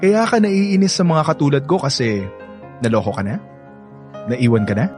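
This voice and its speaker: Cocky Filipino playboy played by an actor, animated pitch. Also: male voice, moderate pace, soft background music, close to an everyday voice. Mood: teasing, boastful. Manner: boastful, teasing, code-switches into English. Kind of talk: Filipino radio drama acting.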